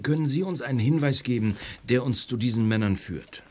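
An actor playing a calm, fatherly middle-aged police inspector in a radio play, measured and probing. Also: medium pitch, a little hiss or hum, close to an everyday voice.

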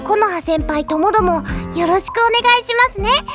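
An actress playing a bubbly, cheeky first-year high school girl with a perky, cutesy way of talking in an audio drama. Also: lively intonation, fairly quick, loud music behind the voice. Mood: perky, excited. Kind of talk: anime-style audio drama acting.

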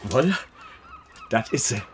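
An actor playing a simple, good-natured young fisherman with a Low German accent in a radio drama. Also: very animated, slow pace, a little hiss or hum. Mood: admiring.

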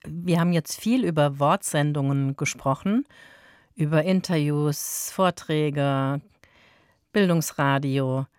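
Woman radio presenter, conversational, deep register, measured pace, weighted key words. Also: a little mellow, smooth, no echo, clean studio sound. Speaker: radio host. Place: dry radio studio.

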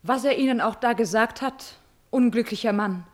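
A woman acts a scorned countess in a classical radio tragedy. Her voice is fairly high, and she speaks theatrically, with biting irony, drawn-out repetitions and a passionate, vengeful temper. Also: lightly acted. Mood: bitter, mocking. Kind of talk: classical German stage tragedy as radio drama.

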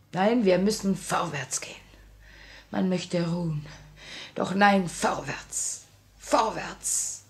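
An actress playing a grieving but resolute young revolutionary in a 1950s German radio play, solemn and theatrical. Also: female voice, on the high side, creaky. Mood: desperate, impassioned. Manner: theatrical, solemn, impassioned delivery. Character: grieving, resolute young woman revolutionary, torn between love and duty.

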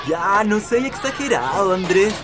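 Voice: mid-range voice, expressive, measured pace, over music, a crowd in the background, natural voice. A performer playing an enthusiastic, laid-back young boy with a Chilean accent in a radio drama. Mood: relaxed.

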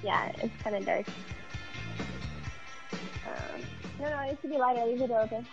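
A woman with a very high voice chats casually over a dull, lo-fi phone connection with music in it. She sounds eager and willing to go along with instructions. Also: normal speed, gravelly. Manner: giggly, eager, compliant. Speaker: contest participant. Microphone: phone, partly on speakerphone. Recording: muffled lo-fi phone call with music.

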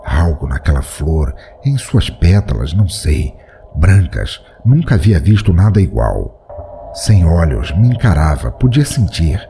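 A man's voice: low voice, sing-song, measured pace, mellow, slight background noise. A man reading a first-person horror story aloud in a dramatic, tense storytelling manner. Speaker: narrator.

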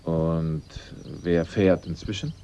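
A man's voice: low voice, fairly even intonation, takes it slowly, warm tone. An actor playing a cool-headed male investigator in a German radio drama, asking questions in a dryly polite way. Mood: casually probing. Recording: dull, noisy older radio recording.

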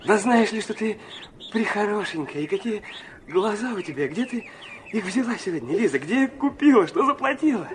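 A man's voice: sits high, lively intonation, normal speed, slight background noise. An actor playing an ardent, touchy, impulsive young man who narrates his own story fervently in a radio drama. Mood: elated, tender. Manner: fervent, dramatic, emphatic repetitions.

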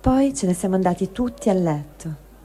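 A woman's voice: medium pitch, expressive, normal speed, dark tone, husky. A woman giving a dramatic reading of a first-person written testimony. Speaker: reader of a translated testimony.

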